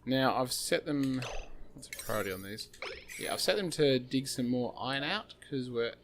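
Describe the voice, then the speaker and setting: Slightly hoarse man rambling through gameplay. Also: mid-range voice, animated, moderate pace, noisy background. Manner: rambling, thinking aloud with many fillers. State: slightly hoarse, losing his voice. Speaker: gaming channel host. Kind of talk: casual gameplay commentary.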